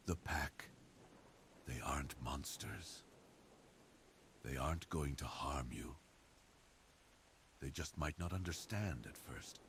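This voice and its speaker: A man playing a devoted, protective werewolf boyfriend in an intimate ASMR roleplay, speaking earnestly and deliberately to reassure his partner. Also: very deep voice, a little hiss or hum, exaggerated voice. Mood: reassuring, firm.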